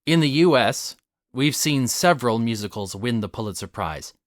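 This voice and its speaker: A man's voice: medium pitch, lively intonation, moderate pace, no room sound, clean studio sound. A man narrating a scripted essay in an articulate, formal, expository manner.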